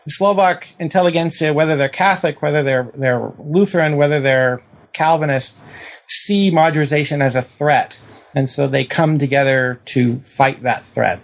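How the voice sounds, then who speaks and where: A man's voice: on the high side, normal speed, vocal fry. A man answering interview questions about history at length, lecturing and thinking aloud with restarts. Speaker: historian guest. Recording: dull lo-fi recording.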